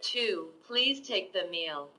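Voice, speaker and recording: A synthetic woman's voice making flat, mechanical announcements from a device. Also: on the low side, takes it slowly, over loud music.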